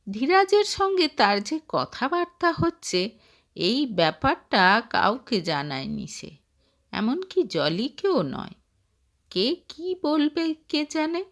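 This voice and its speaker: A woman narrating a scripted short story in an expressive storytelling manner. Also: medium pitch.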